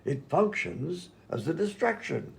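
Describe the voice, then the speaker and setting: A man's voice: a little high, big pitch swings, measured pace, gravelly, exaggerated voice. A man playing a genial, absent-minded doctor in a comedy radio sketch, polite, dithering and oblivious. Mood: cheerful, befuddled.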